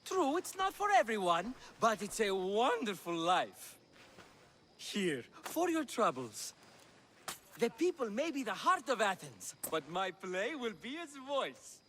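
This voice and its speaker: An actor playing a flamboyant, excitable, self-important Greek playwright in a video game, grandiose in manner. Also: male voice, sits high, unhurried, slight background noise, full character voice. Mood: relieved, grandiose. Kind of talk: cinematic game acting.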